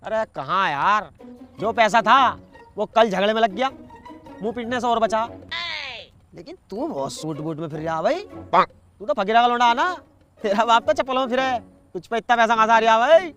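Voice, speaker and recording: Man acting a high-voiced grumbling villager. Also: expressive, normal speed, long pauses, a bit bright, faint music behind. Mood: curious. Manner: blunt, inquisitive. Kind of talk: casual comedy skit acting.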